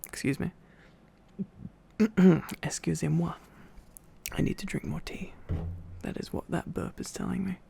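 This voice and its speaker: A man reading a bedtime story in a sleepy, informal ASMR style, with relaxed rambling asides. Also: fairly high pitch, a little slow, gravelly, long pauses, slightly rough.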